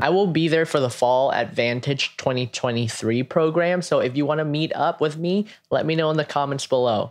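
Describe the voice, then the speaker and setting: A young American man giving conversational, scripted advice to camera in an upbeat, emphatic, friendly way. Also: mid-range voice. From United States (California). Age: young adult. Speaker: vlogger. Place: small home room at a desk, indoors. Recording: music behind the voice. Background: guitar music.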